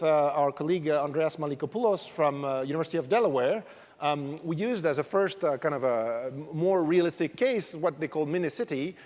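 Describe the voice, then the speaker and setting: A man from France with a French accent, giving a technical conference talk with frequent fillers and restarts. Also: on the high side. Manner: explanatory, many fillers and restarts. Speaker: conference speaker. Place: large conference hall. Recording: long reverb of a large hall.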